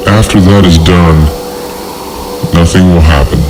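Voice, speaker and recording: A man's voice: sits low, steady tone, measured pace, noisy background. A man hosting a podcast, reading a horror story in a theatrical, sarcastic way with rambling, profane comic asides, music playing behind him. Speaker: podcast host. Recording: loud music under the voice. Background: loud music.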